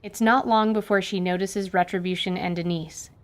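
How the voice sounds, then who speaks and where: A woman narrating a fantasy radio drama with lively storytelling. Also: medium pitch, moderate pace.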